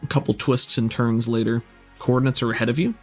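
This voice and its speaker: A man's voice: medium pitch, steady tone, fast, husky, soft background music. A man working as game master, improvising descriptive narration that sets scenes in a tabletop game.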